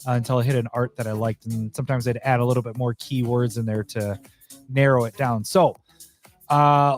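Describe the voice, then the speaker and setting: Slightly hoarse man chatting over faint music. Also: medium pitch, darkish tone. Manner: chatty, easily annoyed, thinking aloud. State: slightly hoarse, losing his voice. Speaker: host. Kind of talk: casual livestream commentary while editing.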